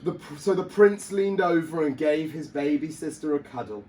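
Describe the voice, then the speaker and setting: A man's voice: a little high, lively intonation, moderate pace, mellow, a little hiss or hum. A man reading a children's story aloud like a teacher at storytime, theatrical and emphatic.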